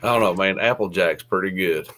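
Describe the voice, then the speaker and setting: A man's voice: low-pitched, fairly quick, fairly bright tone. A man talking bluntly in a casual chat.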